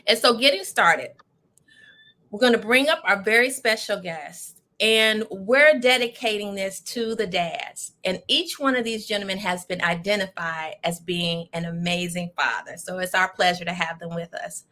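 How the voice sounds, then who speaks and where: A woman's voice: mid-range voice, normal speed, sharp tone. An American woman hosting a panel show in an upbeat style, introducing guests and putting questions to them. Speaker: host of a self-care show.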